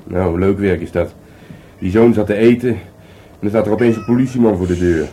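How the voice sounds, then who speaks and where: Low, mellow, grumbling Dutch detective. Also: male voice, a little fast, breathy edge. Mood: grumbling, sulky. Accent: Netherlands Dutch. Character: disgruntled male police detective, grumbling but playful at home. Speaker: actor in a radio play. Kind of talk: naturalistic radio play dialogue. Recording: faintly noisy radio play recording.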